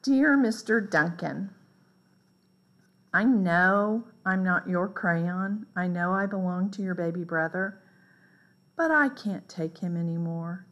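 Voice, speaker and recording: A woman reading a children's picture book aloud in a deliberate, expressive way. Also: lowish voice. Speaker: read-aloud storyteller.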